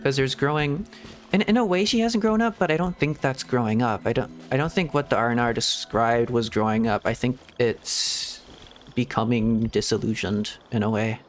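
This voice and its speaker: A man streaming a video game, thinking aloud in a rambling, reflective way with hedges and soft laughs. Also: medium pitch, moderate pace, soft background music. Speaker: VTuber streamer. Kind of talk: casual gameplay commentary.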